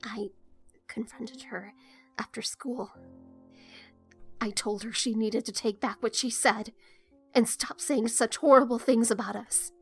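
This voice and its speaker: A woman's voice: a little high, fairly even intonation, unhurried, vocal fry, pauses often, faint music behind. A woman acting in an audio drama as an earnest, uneasy teenage daughter, hesitant, subdued and confessional. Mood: hurt, indignant.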